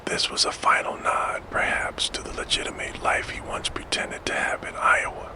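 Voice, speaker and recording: A man narrating true crime in a soft, fully unvoiced ASMR whisper, slow and measured, close to the microphone.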